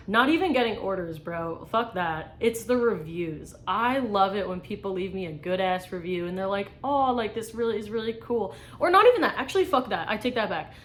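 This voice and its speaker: A woman's voice: mid-range voice, lively intonation, moderate pace, fairly bright tone. A young woman from New York answering tag questions about her small art shop. She rambles with lots of fillers and swears casually. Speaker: small business artist.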